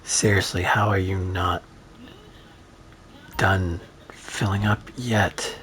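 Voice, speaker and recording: Man, low voice, slow and drawn out, lively swings in tone, sarcastic rambling, losing his voice. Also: a little hiss or hum. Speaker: gaming streamer. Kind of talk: rambling gameplay commentary.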